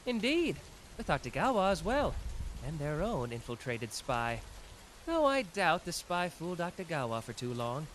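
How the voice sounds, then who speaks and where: A man playing a confident, smug young male detective genius in a scripted anime audio roleplay, teasing and self-assured with dramatic pauses. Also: on the high side, very animated, fairly bright tone, a little hiss or hum. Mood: brisk, confident.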